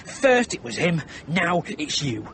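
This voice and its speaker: A man's voice: fairly high pitch, sing-song, slow, a bit bright, a little hiss or hum, full character voice. An actor playing an irritable, stubborn, panicky suit-and-tie man stranded in snow, testy and sarcastic, in a radio horror drama. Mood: angry, scornful.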